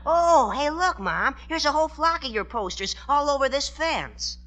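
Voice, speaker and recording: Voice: high-pitched, lively intonation, moderate pace, bright, forceful tone. A performer playing an eager, gee-whiz youngster, the child of the family in a 1940s radio sitcom.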